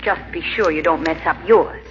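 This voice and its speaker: An actress playing a cold, ruthless wife plotting murder in an old-time radio horror drama, commanding and deliberate. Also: female voice, deep voice, faint music behind, natural voice. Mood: steely, commanding. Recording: reverberant muffled old radio with hiss.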